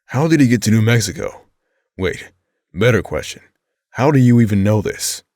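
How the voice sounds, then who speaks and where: A man's voice: fairly low pitch, lively intonation, moderate pace, darkish tone, dry recording. A man playing a dry, skeptical, protective teenage boy in an audio drama, with sardonic delivery.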